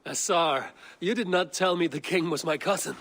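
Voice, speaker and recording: An actor playing a blunt, commanding Viking warrior in a video game, speaking in terse, direct, wary lines. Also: male voice, a little high, moderate pace, a bit bright. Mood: mildly pleased. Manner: terse, direct, plain-spoken. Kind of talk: video game cutscene acting.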